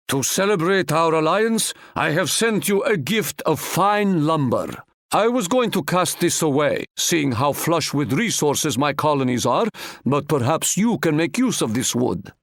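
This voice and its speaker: A man voicing a proud, boastful male sultan in a video game, speaking in a grand, measured way and fond of stately proverbs. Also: a little high, no room sound, clean studio sound.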